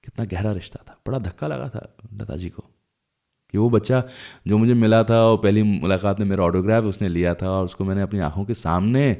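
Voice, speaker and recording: A man's voice: low-pitched, dark tone. Conversational Indian man hosting radio. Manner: reflective, conversational, addresses listeners directly. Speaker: radio show host. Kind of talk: conversational radio presenting, storytelling about film music.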